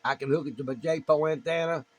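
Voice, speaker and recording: A man's voice: a little high, steady tone, fast talker. A man chatting casually as an amateur radio operator, plainspoken and prone to repeating himself. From United States. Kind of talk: casual ham radio chat.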